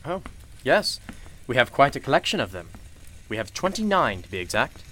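A man playing a kindly, wise and slightly mysterious shopkeeper in a radio play, speaking in a formal, measured, reassuring way. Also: mid-range voice, big pitch swings, a bit bright, slight background noise. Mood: courteous, knowing.

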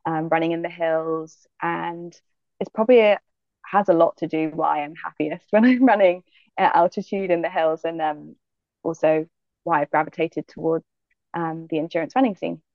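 A young woman from Jamaica with a low, gravelly voice talks through her answers in a relaxed, thoughtful way, pausing on frequent fillers. The sound is dry and a little dull. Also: no room sound. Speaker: guest athlete. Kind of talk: interview answers.

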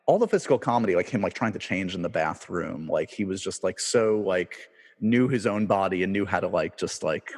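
A man with a low-ish, husky voice hosts a casual chat, hedging his questions with many fillers. A long reverb hangs behind his voice. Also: fairly quick. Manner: relaxed, hedging, heavy on "like" and "uh". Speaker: podcast host.

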